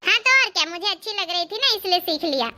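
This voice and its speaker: A woman's voice: very high voice, expressive, fast, noisy background. A woman voicing a cheeky, confident young daughter-in-law from town in a cartoon comedy, playful and mockingly polite.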